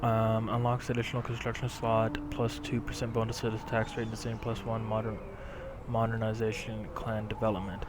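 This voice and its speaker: A man's voice: fairly low pitch, completely flat delivery, normal speed, noisy background. A young man hosting a gaming channel, casually thinking aloud as he plays. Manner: musing, thinking aloud while clicking. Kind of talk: casual gameplay commentary. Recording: game music behind.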